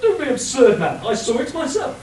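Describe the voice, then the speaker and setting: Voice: sits high, big pitch swings, brisk, darkish tone. An amateur actor playing an earnest, officious police inspector in a radio play. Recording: roomy, distant, faint hiss.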